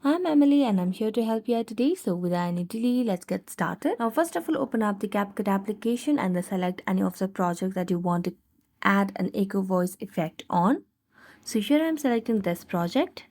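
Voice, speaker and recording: A woman's voice: mid-range voice, lively intonation, brisk, a little mellow. A woman hosting a how-to video, narrating scripted step-by-step app instructions. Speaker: tutorial host.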